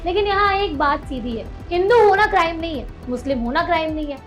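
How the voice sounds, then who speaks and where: A woman with an Indian accent and a distinctly high voice hosts a news explainer. Her scripted delivery is emphatic and dramatic, built on pointed phrasing. Faint music plays behind her. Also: lively intonation, fast talker. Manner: dramatic, emphatic, posing rhetorical questions. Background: music bed.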